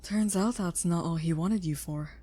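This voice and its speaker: A woman playing a caring, protective scientist in an audio roleplay, speaking gently and earnestly in a slightly husky voice. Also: on the low side, normal speed. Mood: concerned. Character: caring female scientist, protective creator of a sentient robot.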